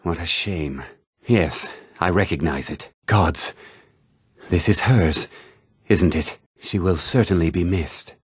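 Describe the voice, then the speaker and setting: A man acting a haughty, condescending townsman in scripted fantasy video game dialogue, with a husky voice and a pompous, dismissive manner. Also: low-pitched, slow, no echo, clean studio sound, heavily acted. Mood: dry, aloof.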